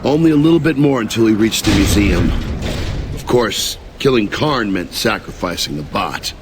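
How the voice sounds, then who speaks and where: An actor playing a brash, defiant lieutenant in a video game, with a sardonic, insubordinate manner. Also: male voice, lowish voice, animated, normal speed, noisy background, close to an everyday voice. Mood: grim, wry. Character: brash, defiant young male soldier lieutenant. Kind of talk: video game cutscene acting and narration. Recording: loud music behind voice.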